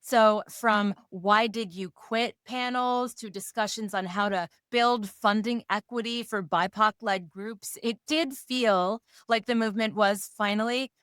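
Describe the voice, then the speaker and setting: A woman co-hosting a podcast in a casual, playful way, slightly hoarse and given to jokes and lists. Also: mid-range voice, steady tone, a little slow, hardly any pauses, a bit bright, no echo, clean studio sound. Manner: playful, jokey, talks in lists. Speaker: podcast co-host. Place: Rochester area.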